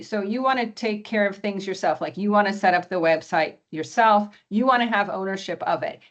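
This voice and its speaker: A hoarse woman giving a webinar presentation over a video call, often clearing her throat and using many fillers. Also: mid-range voice, highs cut off. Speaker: export presenter.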